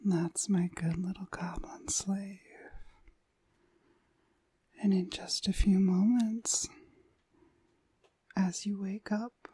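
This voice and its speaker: A woman playing a dominant, seductive witch mistress in a whispered ASMR hypnosis roleplay, breathy, soothing and commanding. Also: medium pitch, fairly even intonation, slow, long pauses, dark tone.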